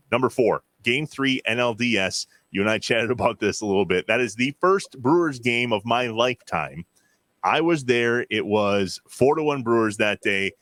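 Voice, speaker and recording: Bright, punchy American man talking sports. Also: mid-range voice, no echo. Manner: enthusiastic, emphatic storytelling. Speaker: sports show co-host. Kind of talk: casual sports talk.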